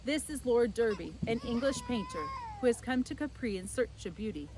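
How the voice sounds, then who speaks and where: A woman voicing a genial, courteous and talkative French gentleman adventurer in a storybook, with a breezy manner. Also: a little high, lively intonation, moderate pace, pitched above the performer's own voice, natural voice, poor audio.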